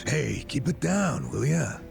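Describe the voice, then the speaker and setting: An actor with an American accent playing a gruff, boisterous, good-humored older ex-cop in a video game, with brash, colloquial delivery. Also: male voice, medium pitch, sing-song, slow, music in the background, heavily acted. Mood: teasing, bluffing. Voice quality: gravelly. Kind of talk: dubbed video game cutscene acting.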